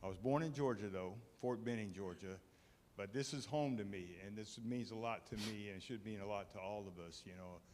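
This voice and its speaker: A man's voice: on the low side, fairly quick, darkish tone, slight background noise. A retired military man from South Carolina, a resident asking questions at a public meeting and hesitating often. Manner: hesitant, trailing off, many fillers. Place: town meeting hall. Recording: long reverb of a meeting hall.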